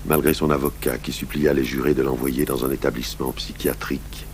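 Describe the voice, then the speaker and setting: An actor in a French radio drama playing a grave, patient police commissioner who speaks in a sober, measured way. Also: male voice, extremely low pitch, level tone, darkish tone. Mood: sober, reflective. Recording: faintly noisy, humming 1980s radio tape.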